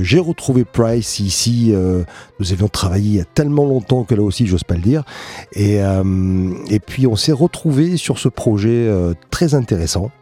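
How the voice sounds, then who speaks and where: A man, a guitarist, answering radio interview questions in a relaxed, hesitant way with many pauses. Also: lowish voice. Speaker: guitarist and guest.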